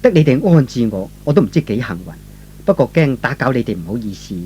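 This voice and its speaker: A man's voice: medium pitch, sing-song, fast, mellow, dull audio, slight background noise, voice pushed down, close to an everyday voice. A man playing an earnest, modest and chivalrous young man in a radio melodrama, speaking politely and theatrically. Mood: humble, polite.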